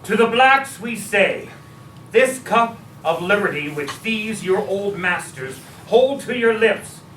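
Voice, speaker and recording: A man acting a high-voiced orating statesman, echoey. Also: pushed and bright, exaggerated voice. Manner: measured, emphatic, oratorical. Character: Abraham Lincoln, statesman orating earnestly to a crowd. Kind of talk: formal 19th-century political oratory. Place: indoor restaurant staged reading. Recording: echoey live restaurant, distant, some noise.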